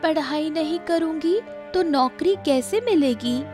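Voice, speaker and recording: A woman plays a stubborn young schoolgirl from a village cartoon. The voice is high and slow, plaintive and pleading, with soft music behind. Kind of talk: Hindi cartoon story voice acting.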